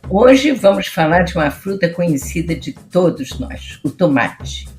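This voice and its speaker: A woman's voice: lowish voice, sing-song, a little slow, a little mellow, soft background music. Woman hosting a food-history podcast. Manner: friendly, introducing guests. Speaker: host.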